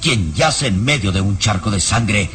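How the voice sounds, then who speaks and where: Radio drama narrator guy, steady and vivid, mid voice, loud music behind. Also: lowish voice. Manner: measured, vivid storytelling.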